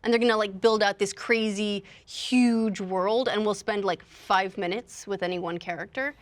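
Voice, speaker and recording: A woman's voice: medium pitch, steady tone, normal speed. A woman talking informally and explaining her views as a panelist on a casual discussion show. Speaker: panelist on a video game and movie discussion show. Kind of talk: casual chat.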